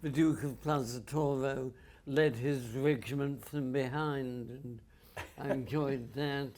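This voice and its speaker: A man's voice: medium pitch, steady tone, slow pace, a little mellow. A man on a public panel, telling theatre memories in a dry, wry, understated way. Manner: dry, wry storyteller who understates the humor. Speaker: audience panelist. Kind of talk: reminiscing in a public panel chat.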